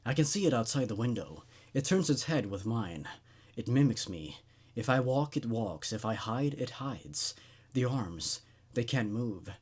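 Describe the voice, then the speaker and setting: A man performs a frightened patient in a horror storybook. He is husky and halting, worn by sleeplessness. His anxious delivery rises and falls widely. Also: mid-range voice, animated, a little mellow. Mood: haunted dread.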